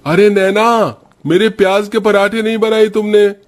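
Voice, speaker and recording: A man's voice: high voice, steady tone, a little slow. A man playing a demanding young husband calling out to his wife in a cartoon story.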